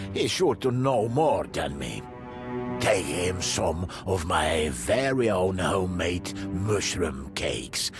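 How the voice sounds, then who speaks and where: A man as a deep, kindly forest dweller. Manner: unhurried storybook delivery. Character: kindly forest dweller who helps a young hero. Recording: music under the voice.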